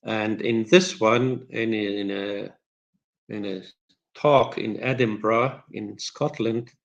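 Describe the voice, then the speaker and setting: Low-voiced lecturer. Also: male voice, fairly even intonation, gravelly, highs cut off. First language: Turkish. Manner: searching for words, many pauses and fillers. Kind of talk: academic talk with slides.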